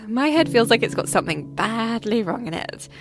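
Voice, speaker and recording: A woman's voice: fairly high pitch, sing-song, normal speed. A woman playing an injured, despairing castaway alone on an island, speaking a raw, weary diary monologue in an audio drama. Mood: bleak, resigned. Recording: music behind the voice.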